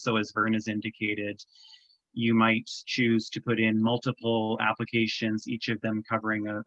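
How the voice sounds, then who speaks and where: Flat-voiced Canadian man explaining a form. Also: fairly low pitch, no room sound, highs cut off. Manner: measured, explanatory, frequent ums. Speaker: government program presenter. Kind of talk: webinar walkthrough. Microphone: video call.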